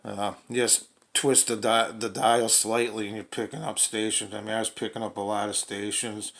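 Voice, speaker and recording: Low, gravelly-voiced man chatting casually. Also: level tone, normal speed, fairly bright tone. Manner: hesitant, rambling, folksy plain talk. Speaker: radio reviewer demonstrating a portable radio. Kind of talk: casual unscripted product demonstration.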